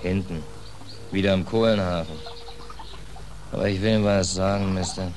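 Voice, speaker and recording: A man's voice: low voice, fairly even intonation, moderate pace, natural voice. An actor playing a ragged, bitter male sailor in a 1940s German radio play. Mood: weary, laconic. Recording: noisy vintage 1940s radio recording.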